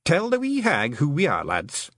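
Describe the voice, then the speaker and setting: A man's voice: a little high, wildly swooping pitch, moderate pace, fairly bright tone, dry recording, voice pushed up, exaggerated voice. A man voicing a small, rowdy blue clan leader with a Scottish accent, exclamatory and anxiously deferential. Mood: proud, rallying. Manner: exclamatory, pleading, dialect-heavy.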